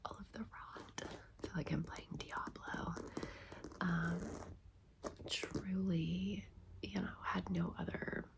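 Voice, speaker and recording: A woman in her thirties hosting ASMR, telling a personal story in a breathy, intimate, chatty whisper. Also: lowish voice, fairly even intonation, takes it slowly, long pauses. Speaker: ASMR video host.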